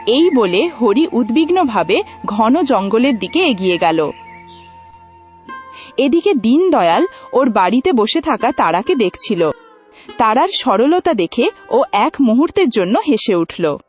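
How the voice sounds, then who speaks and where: A woman's voice: on the high side, sing-song, a little slow, faint music behind. A woman narrating a cartoon fairy tale in a dramatic storybook manner.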